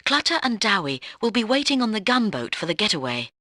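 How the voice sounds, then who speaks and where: Brisk female spy briefer for a game, bright and dry-recorded, lively pitch, authoritative. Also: no room sound. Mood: instructive. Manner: instructive, authoritative. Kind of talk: scripted video game mission briefing.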